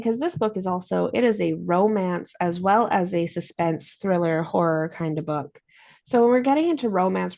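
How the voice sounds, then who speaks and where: A woman's voice: mid-range voice, vocal fry, darkish tone. A woman hosting an interview, speaking in an articulate, encouraging way as she asks questions and comments. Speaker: interviewer, host of a book show.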